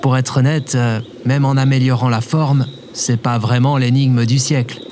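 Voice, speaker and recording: Confident young quest leader for audio adventure, male actor: easygoing, slightly cocky, clear background noise. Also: medium pitch, level tone, moderate pace, lightly acted. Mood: casual. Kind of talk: French audio adventure drama.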